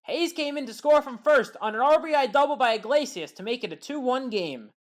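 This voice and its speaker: A woman giving a scripted play-by-play recap of baseball highlights in a measured, factual way. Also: lively intonation. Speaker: highlights commentator.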